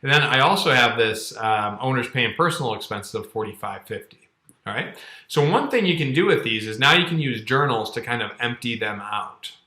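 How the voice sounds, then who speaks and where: Bright-voiced man teaching in a roomy space. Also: mid-range voice, expressive, fairly quick. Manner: conversational, explanatory, frequent check-ins like "okay" and "all right". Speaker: accounting course instructor. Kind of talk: casual screen-share tutorial. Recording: roomy, echoing indoor sound.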